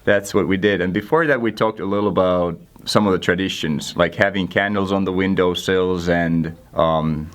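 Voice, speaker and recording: A man's voice: low voice, steady tone, moderate pace, hardly any pauses. A young man from Finland with a Finnish accent, a native Finnish speaker, answering interview questions casually with dry, self-deprecating humor. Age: young adult. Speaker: guest intern.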